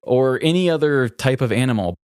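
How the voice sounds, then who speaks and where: A man's voice: mid-range voice, animated, fairly quick, a little mellow, clear, resonant tone, no echo, clean studio sound. An American man co-hosting a podcast in casual comedic chat, with a playful, mock-serious way of talking. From United States. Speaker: podcast co-host.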